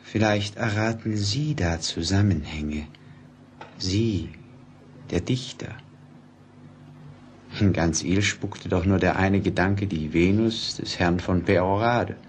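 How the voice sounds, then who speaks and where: An actor in a German radio play, playing a grave, measured man who soberly reports on a mysterious death. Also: sits low. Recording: noisy old radio play recording.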